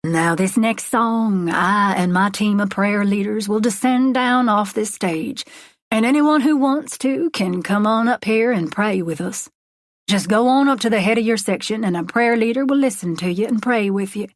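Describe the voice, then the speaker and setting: A woman voicing a folksy, charismatic male megachurch preacher, preaching with drawn-out words and dramatic pauses. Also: mid-range voice, no room sound, studio quality, natural voice. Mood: gentle. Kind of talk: audiobook character voice.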